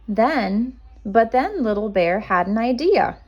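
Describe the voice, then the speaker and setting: A woman reading a children's storybook aloud as a kindergarten teacher, expressive and measured for young listeners. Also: a little high, husky, dull audio.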